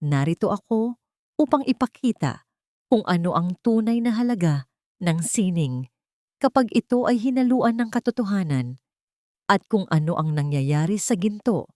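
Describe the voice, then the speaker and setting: Woman narrator doing wronged wife giving a speech, cold n steady, formal, dry sound. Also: mid-range voice, normal speed, dry recording, lightly acted. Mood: cold, resolute. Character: dignified, cold, vengeful wronged wife addressing a crowd. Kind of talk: audio drama speech.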